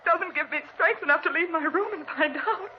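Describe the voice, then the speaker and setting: A woman's voice: extremely high pitch, a little fast. An actress playing a frightened wife who fears for her life, in breathless 1940s radio drama style. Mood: terrified. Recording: muffled noisy old radio recording.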